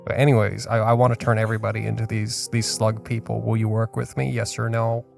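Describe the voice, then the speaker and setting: A man playing an eager, scheming president who makes a halting, coaxing pitch in an improvised comedy audio drama. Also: monotone, gravelly, faint music behind. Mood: pitching, persuasive.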